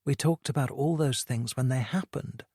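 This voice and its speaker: A reader voicing a middle-aged mother who is worried and grieving, speaking quietly and gently as she coaxes her son. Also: a bass voice, moderate pace, husky, no echo, studio quality, exaggerated voice. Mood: pleading.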